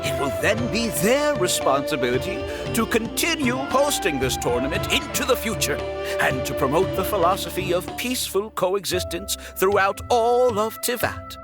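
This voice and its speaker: Game tournament announcer, high voice, swooping delivery, theatrical, ceremonial, drawn-out proclaiming. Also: male voice, very animated. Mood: grand. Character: showy male tournament announcer, grand and theatrical. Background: game music.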